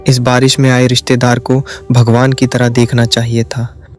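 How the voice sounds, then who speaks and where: A man's voice: mid-range voice, monotone, normal speed, faint music behind, close to an everyday voice. A man voicing a stern, blunt householder in an emotional cartoon family drama. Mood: remorseful. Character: stern suspicious householder, quick to accuse his relative. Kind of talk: dramatic cartoon story dialogue.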